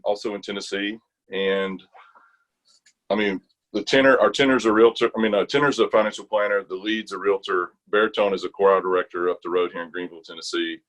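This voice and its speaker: A man with an American accent and a low voice talks in an informal interview. He rambles a little, thinking aloud and restarting his phrases as he goes. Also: poor audio. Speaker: interview guest, bass gospel quartet singer. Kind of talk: casual interview chat.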